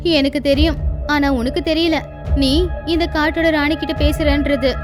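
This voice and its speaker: A woman's voice: sits high, steady tone, a little slow, over music. A woman voicing a cunning, bold female goat who taunts in a cartoon fable. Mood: defiant.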